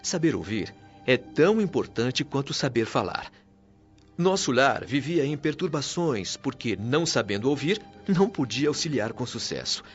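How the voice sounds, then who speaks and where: A male actor voices a wise Brazilian spirit mentor in a radio play. He teaches patiently and formally, with a very mobile, mid-pitched voice. The sound is a little dull, with music playing underneath. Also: very animated, normal speed, natural voice. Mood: instructive. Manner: didactic, earnest, formal. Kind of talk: radio drama acting.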